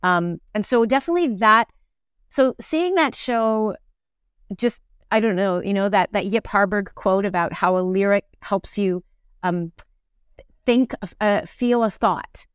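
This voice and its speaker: Woman, clear medium-pitched voice, chatty interview guest, animated, keeps restarting her thoughts. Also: unhurried, no room sound, studio quality. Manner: animated, thinking aloud with repeated words and self-interruptions. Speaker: interview guest, musical theatre actor. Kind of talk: casual reflective conversation.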